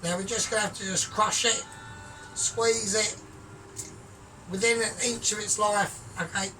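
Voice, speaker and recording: A man talking through his cooking in a rambling, grandiose, sweary way, often trailing off quiet. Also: high voice, fairly even intonation, unhurried, a little hiss or hum. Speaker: home cook vlogger. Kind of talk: casual cooking vlog. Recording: distant mic with music behind.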